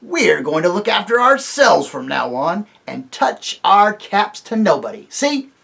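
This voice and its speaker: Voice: mid-range voice, sing-song, unhurried, creaky, fairly bright tone, voice pushed up, heavily acted. A man voicing a surly, sneering male dwarf, blunt and jeering, in a storybook reading. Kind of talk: audiobook reading.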